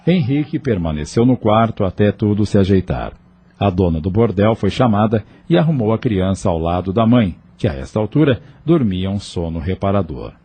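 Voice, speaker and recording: A man's voice: on the low side, big pitch swings, normal speed, dark tone, breathy edge. A man with a Brazilian accent giving scripted narration for an audio novel.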